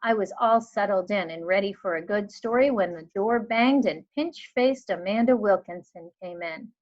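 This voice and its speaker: A woman reading a children's novel aloud in an expressive, measured way, acting out the dialogue. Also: mid-range voice. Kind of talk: expressive storybook read-aloud. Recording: dull lo-fi recording.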